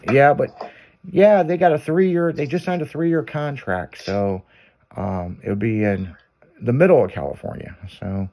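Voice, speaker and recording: A man's voice: medium pitch, unhurried, warm tone. A male radio host talking loosely on air, his sentences wandering and trailing off into "so yeah." He swears freely and rambles in an everyday middle voice, laid-back and unscripted. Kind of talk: casual on-air chat.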